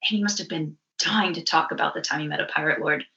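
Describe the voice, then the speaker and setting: A woman's voice: low voice, quick pace, creaky, reverberant, background noise. A woman voicing a brash, defiant female bounty hunter in a comic readalong, sassy and combative, in slightly dull audio.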